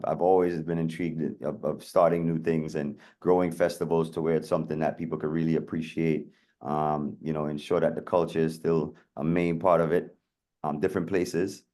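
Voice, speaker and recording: Casting note: low-voiced man from Atlanta as a DJ guest, chatty, filler-heavy storyteller. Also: warm tone. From Atlanta, USA. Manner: chatty, lots of "you know" fillers. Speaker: guest DJ and producer. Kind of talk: casual interview storytelling.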